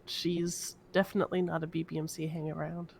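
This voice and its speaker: A woman's voice: sits low, level tone, brisk, gravelly, a little mellow, a little hiss or hum. A woman improvising casual in-character roleplay chat.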